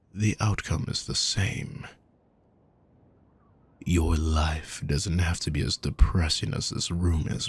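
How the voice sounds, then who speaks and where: A man playing a wealthy, possessive villain kidnapper in an intimate audio roleplay, calm, courtly and manipulative, speaking in measured, formal phrases with soft menace. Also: full character voice. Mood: quietly menacing, then possessive, soothing. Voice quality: low, gravelly, husky.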